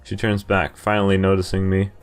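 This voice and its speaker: A man's voice: deep voice, monotone, brisk, clear, resonant tone, soft background music. A man hosting a game playthrough, reading visual novel narration aloud and breaking off for casual commentary. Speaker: video game playthrough host.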